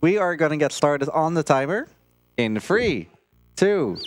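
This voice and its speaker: A man's voice: fairly high pitch, very animated, a little hiss or hum. A man casually commentating his speedrun live, joking and chatting. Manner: joking, chatty, quick laughs.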